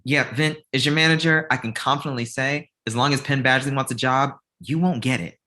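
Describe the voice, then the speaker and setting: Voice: medium pitch, steady tone, a little fast, bright, forceful tone, close to an everyday voice. An actor reading the part of a blunt, exasperated male talent manager in a comedy table read. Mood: deadpan, blunt.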